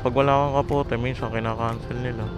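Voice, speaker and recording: A man's voice: mid-range voice, steady tone, brisk, over loud music. A man, a motorcycle taxi rider and vlogger, chatting casually through a helmet intercom as he rides. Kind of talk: casual chat with a passenger while riding. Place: outdoors on a motorcycle in traffic. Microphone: helmet headset intercom.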